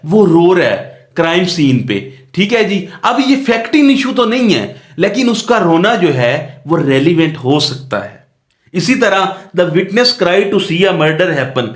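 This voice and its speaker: Male law tutor, unhurried and didactic delivery with English terms mixed in, roomy echoing recording. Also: high-pitched. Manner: didactic, repeats phrases and asks rhetorical check questions. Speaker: law lecturer. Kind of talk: explanatory lecture mixing in English legal terms. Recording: echoey, roomy sound.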